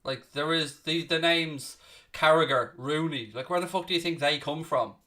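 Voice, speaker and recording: A man's voice: on the high side, moderate pace, sharp tone. Chatty Dublin Irishman calling a match. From Ireland. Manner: chatty, blunt, answers viewer questions between play-by-play calls, occasional swearing. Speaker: host of a live football watchalong. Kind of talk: casual live match commentary and chat with viewers.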